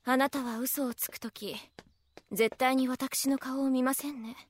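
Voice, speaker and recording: An actress playing a composed, reserved wife who speaks politely and gently reproves, in an audio drama. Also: female voice, sits high, steady tone, measured pace, slight background noise. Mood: calm, probing. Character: composed, polite, perceptive wife of a proud lord. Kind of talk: Japanese audio drama acting.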